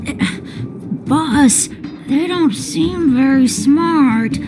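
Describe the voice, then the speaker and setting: A woman's voice: high voice, very slow, over music. An actress voicing a young boy, the earnest and deferential sidekick of a kids' gang, in an anime-style game. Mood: doubtful.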